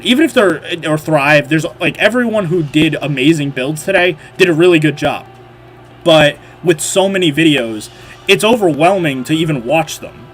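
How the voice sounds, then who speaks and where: A man's voice: a little high, fairly bright tone. A man with a nasal voice hosting a livestream, chatting casually and rambling with lots of fillers and restarts. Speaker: livestream host. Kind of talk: casual livestream chat while modding.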